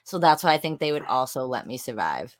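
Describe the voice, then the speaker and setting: A woman with a raspy voice, chatting casually as a co-host and peppering her talk with "like". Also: rumbling low, sing-song, fairly quick. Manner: chatty, lots of "like" fillers and laughs. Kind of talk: casual chat.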